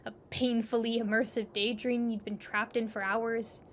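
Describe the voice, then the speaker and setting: A woman's voice: on the high side, little pitch movement, moderate pace, slight background noise. A woman reading scripted second-person narration for an audio drama, over ambient sound.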